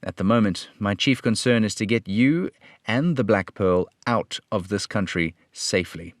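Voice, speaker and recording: A man playing a stern, upright detective from an old radio drama, lecturing in a low and measured voice. The sound is close and dry, with no room around it. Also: dry recording, natural voice. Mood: reassuring. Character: firm, upright police detective, adult man. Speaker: radio play reader.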